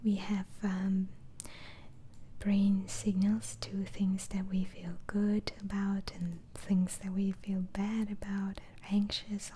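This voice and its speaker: A woman plays a gentle female doctor interviewing a patient in a whispered ASMR roleplay. The whisper is soft, mellow and unhurried, with hesitant, murmured follow-ups. A faint noise sits behind the voice. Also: medium pitch, close to an everyday voice. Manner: halting, hesitant, murmuring acknowledgements.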